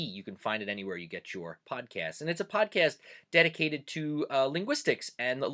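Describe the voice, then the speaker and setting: A man with a bright, forward voice talks through a casual monologue as host. His pitch swings widely, and he keeps a chatty, animated flow full of little "uh" pauses. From Pennsylvania Dutch country, USA. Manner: chatty and animated, frequent "uh" fillers. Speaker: host and teacher.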